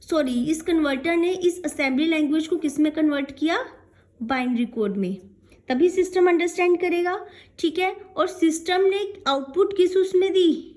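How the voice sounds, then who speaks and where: High-pitched woman teaching. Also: moderate pace, a little mellow. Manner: asks and answers own questions, frequent check-in tags; mixes English technical terms into speech. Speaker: programming teacher. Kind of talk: explanatory classroom lecture.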